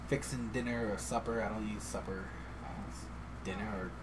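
A man's voice: fairly low pitch, moderate pace, heavy background noise. A young man from Iowa with a faintly Midwestern accent and a slight lisp, telling stories animatedly in casual chat and laughing often. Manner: animated storyteller, laughs often, acts out dialogue.

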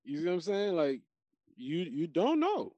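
A man in casual chat, animated and profane, riffing on his points with slang and repetition. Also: on the high side, normal speed, no room sound, highs cut off.

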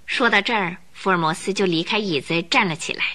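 A woman narrating a radio drama in a clear, storytelling manner. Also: on the low side, a little fast, sharp tone.

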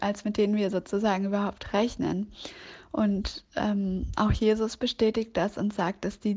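Woman, faith talk, earnest and halting with fillers, flat pitch, lo-fi voice message. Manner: earnest, explaining scripture with many fillers.

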